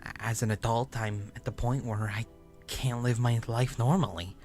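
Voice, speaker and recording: A man playing an obsessive, unhinged narrator in a horror story, speaking in a deliberate, halting, confessional way with stammered repeats. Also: medium pitch, steady tone, darkish tone, lightly acted. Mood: obsessive, confiding. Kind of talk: dramatic first-person horror story reading. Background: music.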